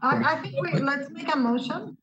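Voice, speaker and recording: Woman, high voice, casual meeting chair, hesitant, muffled call audio. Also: normal speed, background noise. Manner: informal, hesitant, many restarts.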